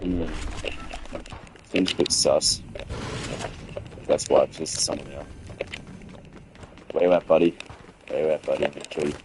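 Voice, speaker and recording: A young man with a hoarse, sick-sounding voice gives rambling, swearing commentary as he streams a game and chats with viewers. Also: very deep voice, steady tone, normal speed, long pauses, darkish tone, a little hiss or hum, rough recording. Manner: rambling, swearing, frequent restarts. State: hoarse from a cold. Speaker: gaming streamer. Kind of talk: casual livestream gameplay commentary.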